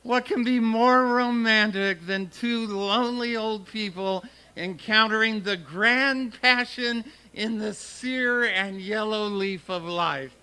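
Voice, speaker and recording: A high-pitched, eager teenage schoolboy. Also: male voice, slow pace, few pauses, sharp tone, clear, resonant tone, heavily acted. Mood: grandly poetic. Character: teenage schoolboy, eager, florid and earnestly poetic. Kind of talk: old-time radio comedy acting.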